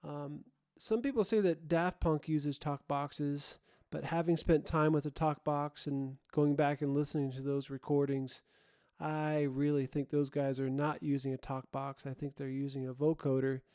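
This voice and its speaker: A man's voice: fairly high pitch, fairly even intonation, moderate pace, dark tone, smooth. A man presenting a gear comparison in a casual, explanatory way, plain-spoken and feeling his way through his sentences. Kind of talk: casual explanatory demo talk.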